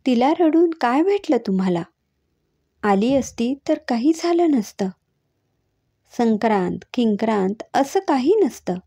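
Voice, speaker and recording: A woman as an outspoken modern aunt, husky voice. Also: a little high, lively intonation, measured pace, warm tone, heavily acted. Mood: annoyed. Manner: firm, direct, mixes English words into her talk. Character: outspoken, modern, affectionate young aunt raised abroad.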